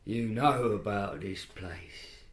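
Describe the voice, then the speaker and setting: Gruff, sardonic castle jailer. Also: male voice, fairly low pitch, slow pace. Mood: guarded. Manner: wry, mocking, dark-humoured. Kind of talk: audio horror play acting.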